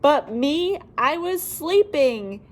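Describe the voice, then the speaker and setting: A woman's voice: high-pitched, lively intonation, takes it slowly. A woman voicing a grumpy bear from a children's storybook, hurt at being left out, aggrieved and emphatic. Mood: aggrieved, sulky.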